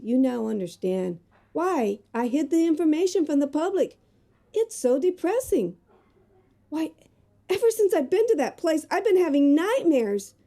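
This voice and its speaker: A woman's voice: sits high, creaky, warm tone, exaggerated voice. A woman playing an earnest, fearful magazine reporter in an audio drama, speaking in a dramatic, hesitant way. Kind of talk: amateur audio drama acting.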